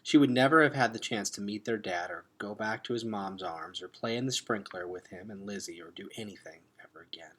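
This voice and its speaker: A man reading a children's novel aloud with expressive, dramatic emphasis, sometimes hushed. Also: lowish voice, brisk, few pauses, rough recording. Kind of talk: expressive storybook read-aloud.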